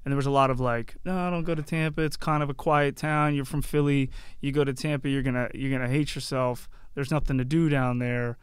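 A man's voice: medium pitch, steady tone, a little fast, few pauses, clean tone, no echo. A man around his forties, chatting casually and telling anecdotes as a podcast guest. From Yardley, Pennsylvania and New Jersey, USA. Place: small curtained podcast studio. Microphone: close broadcast mic on boom arm.

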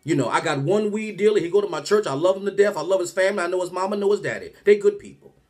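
A man in his thirties doing a comedic rant to camera, animated and exasperated, firing rhetorical questions from a small room at home. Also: high voice, racing.

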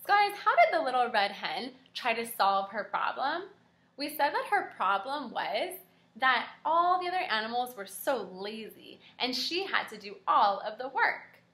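A young woman with a very bright, fairly high voice leads a read-aloud lesson for small children. She is animated and encouraging, often prompting her listeners with questions. The room around her sounds echoey. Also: unhurried. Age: young adult. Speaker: kindergarten teacher.

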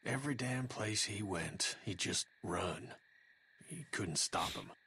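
Casting: gruff middle-aged dad in a game, low and forceful, worn down by grief. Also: male voice, expressive, slow pace, slight background noise, heavily acted. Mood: grieving, reflective. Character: weary bearded middle-aged father, grieving and gruff.

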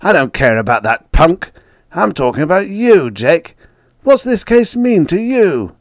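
A man voicing a heavy old district attorney in an audiobook story, blunt and gruff, wheezy and short of breath. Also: medium pitch, expressive, moderate pace, dry recording, studio quality, pitched above the performer's own voice, natural voice. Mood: gruff, exasperated. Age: older. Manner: blunt, exasperated, forceful.

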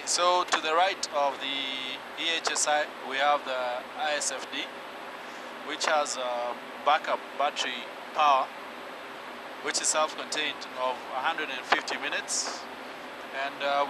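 A man, an airline pilot, patiently explaining cockpit instruments in flight. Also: on the high side. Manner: technical, patient, with fillers. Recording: loud engine and cockpit noise.

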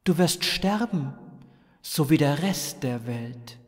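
A man voicing a solemn, commanding alien messenger in a slow, portentous manner for an audio story. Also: a little high, lively intonation, long reverb tail. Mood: grave, imperious.

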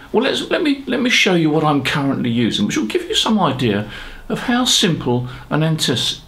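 An older British man in his seventies presents in an explanatory style. His voice is noticeably creaky, and he speaks in a measured way with pauses mid-sentence. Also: medium pitch, fairly bright tone. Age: 70s. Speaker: ham radio presenter. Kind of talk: explanatory talk to camera. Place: small home studio room, indoors.

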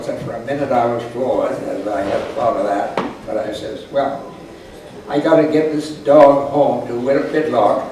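Old guy telling a folksy yarn out loud, dry delivery, really echoey noisy room. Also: mid-range voice, far from the mic. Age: 80s or older. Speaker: storyteller reading aloud. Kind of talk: folksy humorous story reading aloud to a live group. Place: indoor parlor with a small audience. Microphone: camera microphone at a distance.

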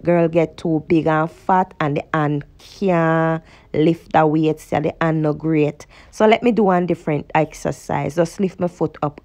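A middle-aged Jamaican woman talking calmly and gratefully about her day, chatting while she exercises in an outdoor park gym. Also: sits low. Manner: calm, grateful, then chatty. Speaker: vlogger. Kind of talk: reflective narration and exercise chat.

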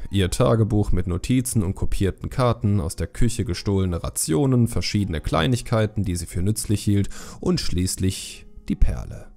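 A man's voice: on the low side, vocal fry, warm tone. A man reading scripted fantasy narration as an audiobook storyteller.

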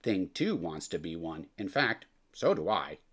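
A reader giving an expressive, theatrical read-aloud of a rhyming children's picture book in a sing-song way. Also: low-pitched, a little slow, breathy edge. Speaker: storybook reader.

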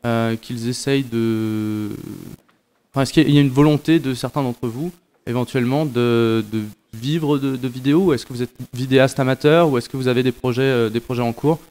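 A man moderating a festival panel in a classroom, asking halting questions with many restarts into a handheld mic. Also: mid-range voice, a little mellow, clear, resonant tone.

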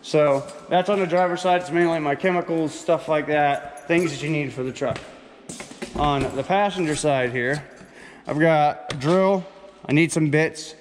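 A man's voice: a little high, unhurried. A man talking casually as a trucker vlogger, showing his gear in informal, slangy language on a handheld camera. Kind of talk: casual walk-through vlog, chatty and informal. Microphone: handheld camera on small tripod, no foam cover. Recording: reverberant, noisy handheld camera audio with handling clicks.

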